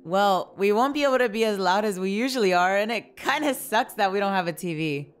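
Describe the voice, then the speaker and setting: A woman plays an easygoing college roommate in an audio drama. The voice sits at an average pitch, casual and supportive, like an old friend talking. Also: expressive, a little fast, clean tone, faint music behind, close to an everyday voice. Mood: amused. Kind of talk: amateur audio drama acting.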